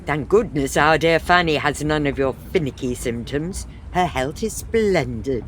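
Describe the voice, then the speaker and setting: A woman's voice: low-pitched, a little slow, a little hiss or hum. A woman acting a sensible, dryly exasperated middle-aged wife in a comic period farce. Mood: soothing, dry.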